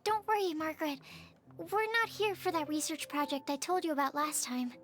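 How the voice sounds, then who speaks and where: A woman's voice: high-pitched, expressive, brisk, a bit bright. A woman voicing a young, polite and timid researcher in a video game, speaking in a hesitant, studious way. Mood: awkward, earnest. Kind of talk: animated video game voice acting. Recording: studio voice over game music.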